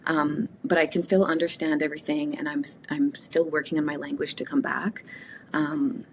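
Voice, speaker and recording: A woman from Canada whose first language is Cree, speaking reflectively as an interview guest, with frequent pauses and restarts. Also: low voice, flat delivery, noisy background. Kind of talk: casual conversational interview.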